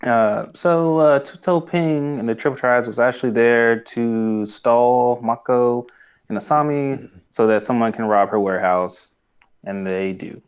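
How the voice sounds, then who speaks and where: A male podcast co-host talks casually with a middle-pitched voice. He slips into little acted-out exchanges as he recaps a show. The sound is somewhat dull and lo-fi. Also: measured pace, clear, resonant tone. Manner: acts out imagined dialogue. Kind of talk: casual chat reviewing a cartoon.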